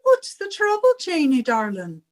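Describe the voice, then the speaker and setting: A woman's voice: high-pitched, wildly swooping pitch, a little slow, exaggerated voice. A woman playing a cheery, fussy, affectionate middle-aged landlady with a sing-song manner in a 1950s-style radio comedy.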